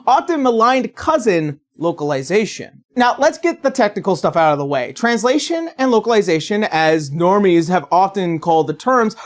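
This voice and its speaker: A sarcastic video essay narrator with a very animated delivery. The pitch moves up and down expressively, and the scripted commentary is played theatrically for comedy. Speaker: video essayist, channel host.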